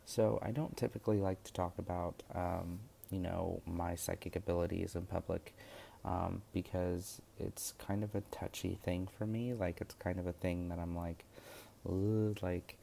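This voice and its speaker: A man's voice: low-pitched, flat delivery, moderate pace, gravelly, warm tone, slight background noise. A young man talking through his witchcraft course lessons in a casual, rambling way, full of fillers and restarts. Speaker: witchcraft course student vlogger. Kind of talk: casual spoken vlog.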